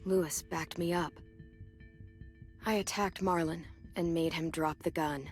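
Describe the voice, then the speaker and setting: A low, sober voice for a protective teenage girl who has survived hard times. The delivery is measured, naturalistic game drama, with music playing underneath. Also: fairly even intonation. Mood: grim recounting.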